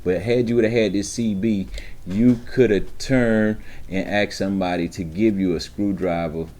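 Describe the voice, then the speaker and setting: A man's voice: on the low side, fairly even intonation, moderate pace, dark tone. Streetwise, emphatic man giving trucker advice. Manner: emphatic, streetwise, repeats rhetorical check-ins. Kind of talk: informal instructional monologue to camera.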